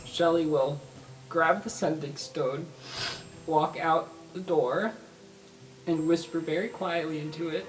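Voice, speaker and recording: A player in a tabletop game describing their character's actions in a playful, unhurried way. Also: medium pitch, steady tone, distant mic, poor audio. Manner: slow, playful, bursting into laughter. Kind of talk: casual tabletop play.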